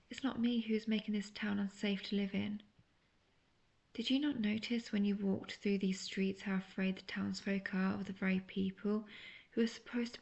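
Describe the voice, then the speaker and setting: A woman playing a seductive, manipulative, obsessive witch in an intimate audio roleplay, coaxing and teasing the listener with soft talk that slips into near-whispers. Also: medium pitch, vocal fry, lo-fi sound, close to an everyday voice. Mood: calm, persuasive.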